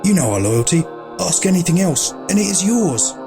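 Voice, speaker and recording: A man's voice: high-pitched, big pitch swings, moderate pace, gravelly, full character voice. A man playing a frightened, loyal cultist in an audio drama, beseeching in a theatrical way. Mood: desperate pleading. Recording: music under the voice.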